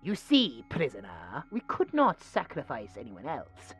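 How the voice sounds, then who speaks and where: A man's voice: mid-range voice, huge pitch swings, a bit bright, soft background music. A British man playing a smug, cruel mad scientist in a horror audio roleplay, with a mocking, snobbish manner. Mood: mock regretful. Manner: mocking, snobbish, drawn-out musing.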